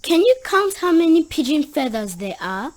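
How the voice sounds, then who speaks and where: A woman's voice: sits high, sing-song, normal speed, no echo. A woman voicing an adventurous, cheerful seven-year-old girl who guides young viewers in an educational cartoon, encouraging and questioning. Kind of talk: educational children's cartoon voice acting.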